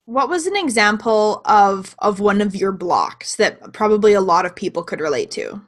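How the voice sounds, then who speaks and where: A woman hosting an interview in a casual conversational way, speaking softly and letting her phrases trail off. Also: mid-range voice, expressive, normal speed. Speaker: interview host, coach.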